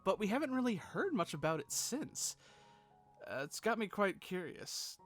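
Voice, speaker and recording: A man's voice: high voice, very animated, normal speed, fairly bright tone. A man co-hosting a scripted commentary, casual and sweary in his asides, with music playing behind him. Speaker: video co-host. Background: music bed.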